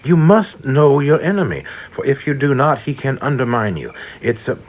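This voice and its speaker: A man's voice: mid-range voice, big pitch swings, normal speed, slight background noise, lightly acted. A man playing a prim, earnest young government man in a radio play, speaking in a stiff, precise and deferential way. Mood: earnest, intense. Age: young adult.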